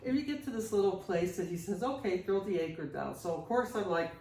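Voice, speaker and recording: Woman in her sixties, creaky voice, podcast host, playful casual storyteller, echoey distant recording. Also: medium pitch, lively intonation, fairly quick, a little mellow. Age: 60s. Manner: playful, teasing. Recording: roomy, distant mic, faint noise.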